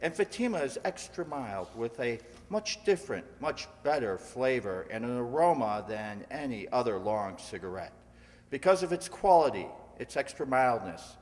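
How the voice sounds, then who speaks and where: A man playing a 1950s radio cigarette pitchman, selling emphatically in the style of a vintage advertisement. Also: a little mellow, natural voice. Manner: emphatic, salesman-like. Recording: reverberant hall.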